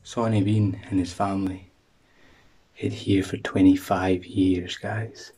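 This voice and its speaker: A young man vlogging by a rocky shore and in a sea cave, talking into a handheld selfie camera, shifting between dramatic hushed storytelling and loud exclamations. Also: low-pitched, flat delivery, slow pace, faint music behind, rough recording. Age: young adult. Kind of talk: excitable travel vlog.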